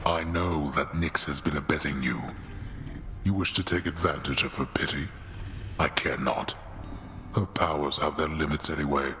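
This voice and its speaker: A man's voice: rumbling low, steady tone, moderate pace, bright, forceful tone. An actor playing a stern, imperious god of the dead and scornful father in a video game, speaking curtly. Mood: cold disdain. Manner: imperious, curt, contemptuous. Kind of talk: scripted video game dialogue. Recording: long reverb, some background noise.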